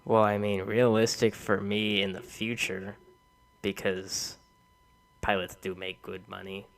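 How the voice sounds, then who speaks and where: A man's voice: fairly low pitch, flat delivery, unhurried. A young American man talking casually as a co-host on a podcast. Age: young adult. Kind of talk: casual chat.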